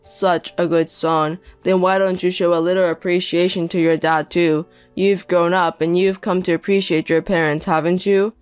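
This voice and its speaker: A woman voicing a 57-year-old indebted deadbeat father who pleads and pities himself, speaking slowly. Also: fairly low pitch, level tone, smooth, dry recording, faint music behind, close to an everyday voice. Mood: wheedling. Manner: pleading, self-pitying, slow.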